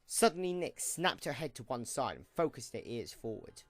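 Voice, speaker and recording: A man narrating a scripted story for an audio drama in an expressive storytelling manner. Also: mid-range voice, moderate pace, soft background music.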